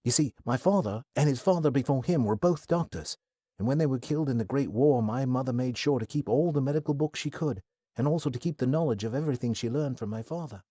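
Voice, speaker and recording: A man's voice: mid-range voice, fast talker, warm tone, husky, no echo, studio quality, voice pushed up, lightly acted. A man voicing a flustered, polite eighteen-year-old who stammers and apologizes a lot, in a storybook reading. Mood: earnest.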